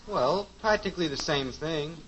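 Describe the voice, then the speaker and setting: An actor playing an eager, insistent teenage son in 1950s radio sitcom acting. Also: male voice, on the high side, expressive, normal speed, fairly bright tone. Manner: insistent, nagging. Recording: dull old radio recording with clear hiss.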